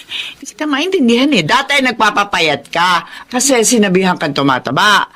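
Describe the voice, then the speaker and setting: A woman playing a nagging but caring middle-aged aunt in a Filipino radio drama, chiding and expressive. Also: mid-range voice, moderate pace. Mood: exasperated. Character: nagging, caring aunt who raised her niece.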